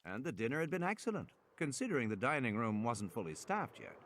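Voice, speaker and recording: Expressive male audiobook narrator, widely varied intonation. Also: fairly high pitch, slight background noise. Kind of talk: scripted dramatic audiobook narration.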